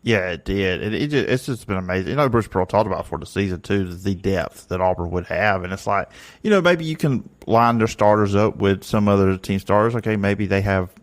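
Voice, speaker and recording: A man hosting a sports podcast, chatting casually and agreeing with his guest in a relaxed way, with many fillers. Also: low-pitched, a little fast. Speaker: podcast host.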